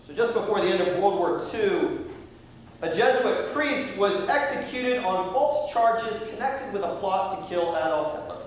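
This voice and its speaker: A middle-aged American priest preaching in a high voice with a measured, unhurried delivery. He is heard from far across a large church, his words ringing with heavy echo. A faint hiss sits under the sound. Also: lively intonation. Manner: measured preaching, reading quotations slowly. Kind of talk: sermon. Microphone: far camera at the back of the church.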